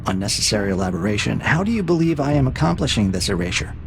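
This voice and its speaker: A man playing a cold, calculating AI interrogator in an audio drama, speaking in a measured, probing, condescending way with a gravelly edge. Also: lowish voice, big pitch swings, a little hiss or hum. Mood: clinical taunting. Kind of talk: scripted audio drama dialogue.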